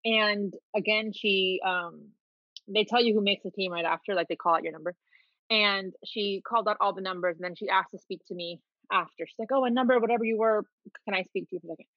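A woman's voice: mid-range voice, brisk, dry recording. Candid woman guest, slightly dull audio. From Dominican Republic, raised in Miami. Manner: easygoing, candid storyteller. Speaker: podcast guest, clothing company owner. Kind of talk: casual interview storytelling.

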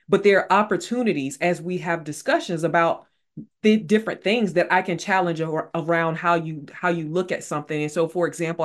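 A woman author speaking as a guest in a casual interview, earnest and repeating phrases for emphasis. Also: lowish voice.

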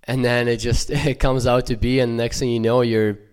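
A young man from Ukraine with no accent, giving a halting, earnest personal church testimony full of fillers and restarts. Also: medium pitch, little pitch movement, darkish tone, clean tone.

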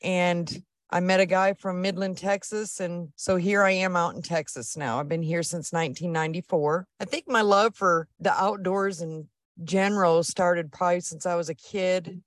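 A middle-aged woman from northern Michigan, a guest on a podcast, telling her story in a relaxed, chatty way. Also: on the low side, normal speed, no echo. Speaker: guest, outdoor adventure business owner. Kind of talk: casual interview.

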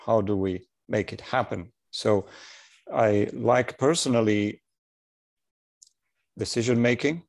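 A man in his sixties, an engineer, talking in a measured, thoughtful way with many pauses, on a remote audio call. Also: on the low side. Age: 60s. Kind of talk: reflective conversation.

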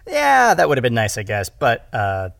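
A man with a medium-pitched, gravelly voice chats informally in a very dry, close sound. He is animated but halting, doubling back on words as he works out his point. It sounds like a relaxed podcast discussion. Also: no echo. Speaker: podcast speaker, audio drama director. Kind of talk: casual conversational discussion.

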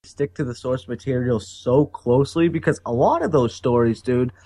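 A man's voice: medium pitch, warm tone, no echo. A man co-hosting a podcast, ranting bluntly about movies and swearing freely. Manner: blunt, profane, fast rants. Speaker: podcast co-host. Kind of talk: casual chat.

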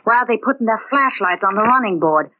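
An actress playing a frightened, suspicious gangster's wife in 1940s radio drama style, tense and insistent. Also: female voice, fairly high pitch, animated, quick pace. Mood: fearful, suspicious. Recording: tinny, band-limited 1940s radio recording.

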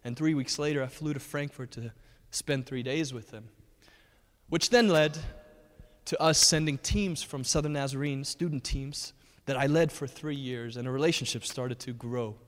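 A man's voice: mid-range voice, moderate pace. A man in his thirties from Germany, a guest speaker giving an earnest personal testimony at a church, with frequent fillers and restarts. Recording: long hall reverb.

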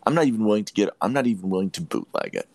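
A man's voice: fairly low pitch, wildly swooping pitch, very fast, vocal fry, darkish tone, no echo, clean studio sound. A man hosting a podcast, giving opinions in an emphatic, declarative way in casual discussion. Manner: emphatic, declarative, repeats phrases for weight. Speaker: podcast host.

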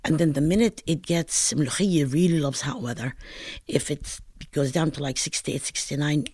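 A woman's voice: sits low, moderate pace, darkish tone, breathy edge, no room sound, clean studio sound. Chatty older Palestinian-accented woman. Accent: northern Palestinian. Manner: chatty, digressive storytelling. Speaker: interviewee, home cook. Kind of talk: oral history interview.

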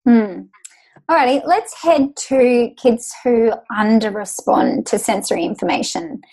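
A woman's voice: fairly high pitch, a little slow, a little mellow, husky, low-quality recording. A woman hosting an interview, chatting casually and agreeing with her guest. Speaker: host.

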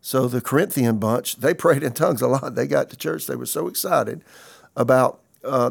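A pastor in his sixties delivers a podcast sermon with a gravelly, mid-pitched voice. He explains scripture in an earnest, conversational way and reads verses aloud between his own remarks. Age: 60s.

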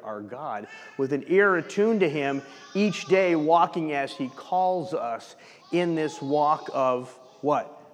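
Animated pastor preaching in a reverberant hall. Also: male voice, fairly high pitch. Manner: animated preaching with rhetorical questions. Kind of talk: sermon. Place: church sanctuary.

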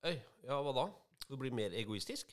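Mid-pitched man, casual podcast co-host. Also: lively intonation. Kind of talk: casual banter.

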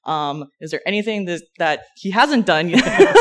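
A woman's voice: fairly low pitch, sing-song, measured pace. A young woman hosting a podcast, introducing a guest in an upbeat way and asking him questions. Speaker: podcast host. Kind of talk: hosting an interview.

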